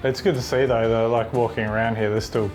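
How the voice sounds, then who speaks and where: Male host, casual chatty questions, mid-pitched voice, faint guitar music underneath. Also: level tone, brisk. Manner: easygoing, chatty, uses "you know" and "I mean". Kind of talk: casual interview.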